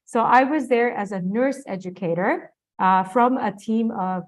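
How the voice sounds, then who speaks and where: A woman's voice: a little high, a little slow. A woman from Japan, a native Japanese speaker with a Japanese accent, giving an online guest lecture in a conversational, explanatory way. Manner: conversational, explanatory, some restarts.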